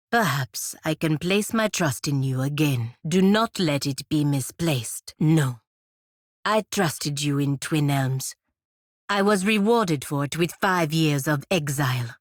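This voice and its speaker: Low-pitched, proud, stern warrior woman. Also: dry recording, studio quality. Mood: grave, guarded. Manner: formal, measured, clipped declarative phrasing. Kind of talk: scripted fantasy video game dialogue.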